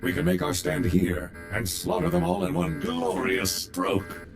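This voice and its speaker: A man's voice: low-pitched, big pitch swings, a little slow, creaky, music in the background, full character voice. A man voicing an eager, battle-hungry combat droid soldier in a video game, speaking in formal, clipped military phrases. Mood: gleeful, bloodthirsty.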